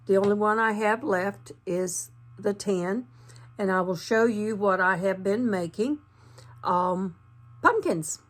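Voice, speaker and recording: A woman, a little croaky from allergies, chatting her way through a casual yarn craft tutorial with lots of ums and asides. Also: medium pitch. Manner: chatty, meandering, lots of fillers. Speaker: crafting channel host.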